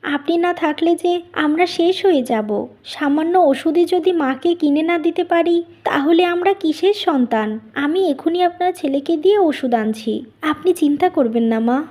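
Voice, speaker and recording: A woman acts out a gentle-hearted young daughter-in-law for a cartoon tale. The voice is very high, and she comes across as kind and dutiful. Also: normal speed, lightly acted. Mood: caring. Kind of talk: Bengali cartoon story dubbing.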